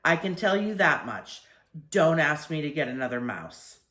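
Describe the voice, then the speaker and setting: Older lady doing a fed-up no-nonsense storybook mom, grumbly and emphatic, low voice, roomy sound. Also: big pitch swings, normal speed, fairly bright tone. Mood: exasperated. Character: exasperated, no-nonsense mother in a storybook.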